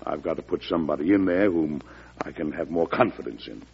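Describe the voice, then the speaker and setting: A wartime American president with a low, husky voice. He speaks in a measured, folksy American way, firm and dryly wry, on a muffled vintage radio recording. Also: expressive, heavily acted. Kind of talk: old-time radio drama acting, storytelling.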